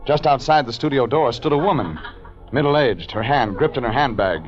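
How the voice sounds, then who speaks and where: An American man acting a cool, terse private investigator in deadpan, clipped 1940s radio detective style. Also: mid-range voice, normal speed, natural voice. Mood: deadpan narrating. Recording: dull vintage radio recording, music behind.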